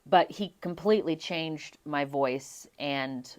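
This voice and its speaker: A young adult woman from Las Vegas, a singer being interviewed, telling her stories in an animated way and laughing often. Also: sits low, takes it slowly. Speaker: guest singer. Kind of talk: casual interview chat.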